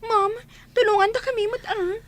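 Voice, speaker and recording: A woman's voice: very high-pitched, fairly even intonation, moderate pace. A woman acting a gossipy, whiny, resentful young office worker in a radio comedy drama. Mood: whining.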